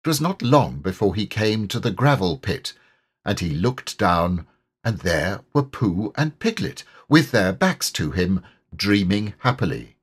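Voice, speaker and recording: A man reading a children's story as an audiobook narrator, in an expressive, measured way. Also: lowish voice, slightly rough. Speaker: audiobook reader.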